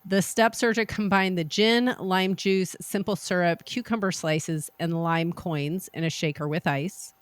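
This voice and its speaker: A woman co-hosting a podcast, speaking in an unhurried, measured way. Her voice sits a little low, and the recording is close and dry. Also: fairly even intonation, smooth, no echo. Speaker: podcast co-host. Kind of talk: casual podcast chat, reading a recipe.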